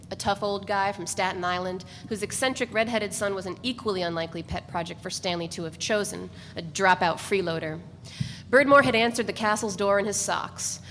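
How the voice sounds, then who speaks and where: A woman narrates a novel at a live reading in a measured, steady voice. Her pitch sits in the middle range. A long room echo and a faint background hiss surround her. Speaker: narrator reader. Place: event space.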